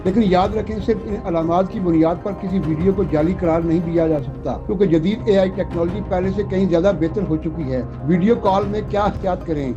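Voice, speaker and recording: A Pakistani man with a notably high voice reads a scripted news explainer. His delivery is measured and formal, in an instructive presenter style. The audio is dull and lo-fi, with clear music playing behind him. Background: prominent background music.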